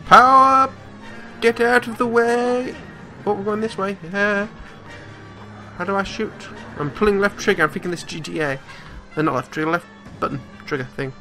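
A man's voice: high-pitched, normal speed, sharp tone, low-quality recording. A man giving casual, joking let's play commentary on a video game, blurting out reactions. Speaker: gaming let's player. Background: video game audio and music.

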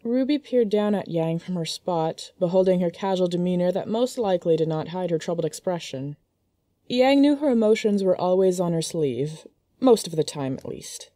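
A woman narrator reading a scripted story in an expressive, measured storytelling manner. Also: medium pitch, no room sound, clean studio sound.

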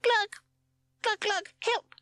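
A tiny newborn storybook bird, squeaking far up high. It is proud, a bit cross and full of questions, in a forceful little voice. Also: female voice, steady tone, takes it slowly, no room sound, exaggerated voice. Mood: panicked.

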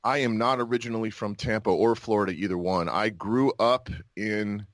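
An American man in a casual interview, telling his story in a reflective, halting, conversational way. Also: lowish voice, fairly even intonation, no room sound, studio quality. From Utah and New Mexico, United States. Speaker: podcast guest, actor and army veteran.

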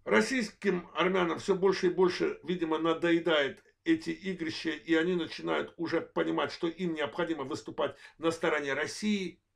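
A man in his forties from Azerbaijan with a worn voice, delivering a political analysis monologue in measured, halting short phrases as host of his own channel. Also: fairly high pitch, steady tone, gravelly. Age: 40s. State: voice worn, apologizes for it.

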